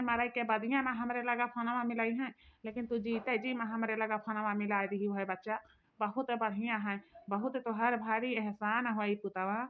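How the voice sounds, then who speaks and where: A woman's voice: on the high side, natural voice. A woman voicing a chatty old auntie on the phone, with an Awadhi accent, doting and rambling. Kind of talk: cartoon voice acting. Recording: dull lo-fi recording.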